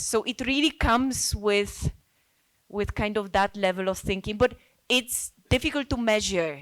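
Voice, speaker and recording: A woman from Poland, a native Polish speaker, speaking informally and earnestly in a group discussion, reflecting and thinking aloud. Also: mid-range voice. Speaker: NGO worker speaking as a participant.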